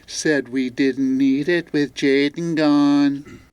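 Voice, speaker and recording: A man's voice: a little high, fairly even intonation, slow, a little mellow, clean tone, no room sound. A man playing a resigned, stubborn radio programmer in an audio drama, blunt and dismissive. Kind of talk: audio theater mystery acting.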